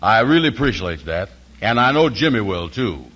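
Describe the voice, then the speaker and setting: An actor playing a proud, slow-witted, big-hearted uncle with a folksy drawl and ungrammatical speech in 1940s radio comedy. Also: male voice, fairly low pitch, sing-song, fairly bright tone, slightly rough, full character voice. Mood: grateful, confident. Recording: vintage 1940s radio broadcast.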